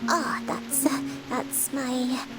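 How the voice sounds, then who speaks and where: Very high-pitched, earnest young noblewoman. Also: level tone, very slow. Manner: polite, earnest, wide-eyed. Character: sheltered, earnest, cheerful young noble lady. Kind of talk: video game voice acting. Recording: music behind the voice.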